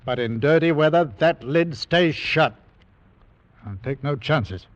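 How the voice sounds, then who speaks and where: Gruff, curt ship captain actor, muffled radio. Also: male voice, a little high, a little slow, close to an everyday voice. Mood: stern, cautious. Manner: curt, clipped, stern. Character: gruff, cautious, grudging cargo ship captain. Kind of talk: old-time radio drama acting. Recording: muffled vintage radio recording.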